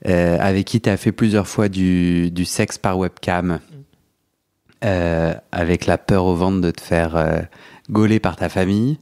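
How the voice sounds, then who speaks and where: A man's voice: deep voice, steady tone, a little slow, darkish tone, clear, resonant tone, dry recording, studio quality. A man hosting a podcast, reading his intro and then interviewing a guest, summing up the guest's story with many fillers. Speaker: podcast host.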